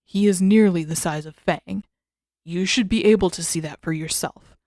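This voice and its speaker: Formal old male mentor, calm and instructive, played by a woman; lowered pitch, dry audiobook sound. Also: no echo, natural voice. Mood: calm, reassuring. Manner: measured, instructive. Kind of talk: audiobook character acting.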